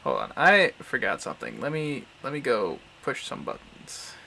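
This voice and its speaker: A man's voice: medium pitch, wildly swooping pitch, moderate pace, gravelly, sharp tone, a little hiss or hum, poor audio. A man giving casual, rambling commentary as he hosts a visual novel livestream, often muttering to himself. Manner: mutters and hums filler syllables to himself.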